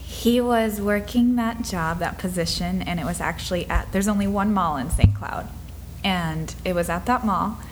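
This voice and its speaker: A woman in her twenties from Minnesota, telling her life story in an interview, earnest and reflective, sometimes dropping to soft breathy asides. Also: fairly low pitch, normal speed, hardly any pauses, a little hiss or hum. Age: 20s.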